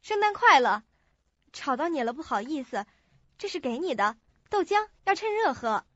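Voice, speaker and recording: A woman acting an eager, considerate young woman in a radio drama, speaking in an animated way. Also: sits high, normal speed. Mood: cheerful, considerate. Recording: dry, dull lo-fi recording.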